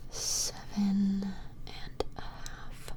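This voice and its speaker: A woman whispering softly and breathily up close to the mic in a gentle, reassuring ASMR personal-attention roleplay, murmuring hesitantly. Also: medium pitch, monotone, drawn-out words. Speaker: ASMR performer. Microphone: close-up microphone.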